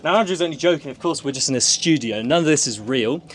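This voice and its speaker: A man's voice: a little high, lively intonation, fast, background noise. A man hosting a casual podcast with playful, mock-grand announcer patter. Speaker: podcast host.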